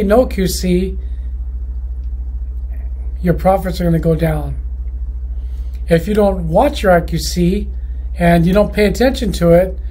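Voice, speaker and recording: Husky man explaining factory quality control. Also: high-pitched, a little mellow, poor audio. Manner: rambling, many restarts and self-corrections. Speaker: loudspeaker manufacturer. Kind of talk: casual explanatory monologue.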